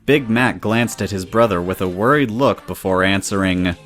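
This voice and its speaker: A man narrates a scripted story. His voice is on the low side, and music runs softly behind him as he tells it. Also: moderate pace.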